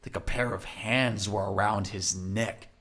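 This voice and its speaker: A man's voice: lowish voice, steady tone, unhurried, fairly bright tone. A man narrating a scripted audio drama in a dramatic storytelling style.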